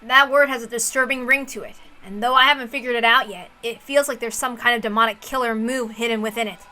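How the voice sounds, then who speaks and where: A woman plays a calculating sorcerer brooding over a mystery duel in a visual novel. The voice is high and bright, with serious, deliberate, dramatic delivery. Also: steady tone. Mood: tense, wary.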